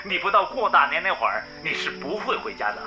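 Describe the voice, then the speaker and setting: A genial local official in a radio drama. His voice is fairly high and very animated, friendly yet measured, with music clearly underneath. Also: low-quality recording. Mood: pleased, informing. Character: genial male township education official.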